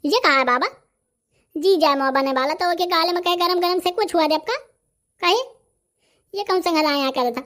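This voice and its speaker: A man voicing an excitable, gossipy male villager in a rustic comedy cartoon, with a Bundelkhandi accent and artificially raised pitch. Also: moderate pace, pauses often. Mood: agitated.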